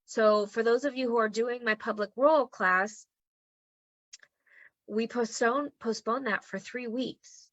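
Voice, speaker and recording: A woman leads an online class in a chatty, encouraging way and keeps checking in with her listeners. Her voice is bright and a little high, though strained from losing it. The recording is dry and slightly muffled. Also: unhurried, no room sound. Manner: chatty and encouraging, frequent "okay?" check-ins. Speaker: human design teacher. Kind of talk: casual teaching talk to an online group.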